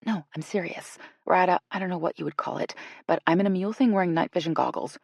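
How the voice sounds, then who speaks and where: A woman voicing a nervous, sarcastic, giggly woman in an audiobook, in a quick, hushed manner. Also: on the low side, creaky, no echo, muffled recording. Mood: hushed, apologetic. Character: jittery, giggly, sarcastic woman scared of bears. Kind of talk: audiobook character acting.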